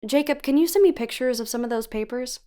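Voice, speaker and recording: A woman's voice: fairly high pitch, fast talker, dark tone, natural voice. An actress playing a cautious, analytical female researcher who investigates for a podcast, earnest and articulate, in an audio drama. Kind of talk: audio drama acting, dialogue and narration.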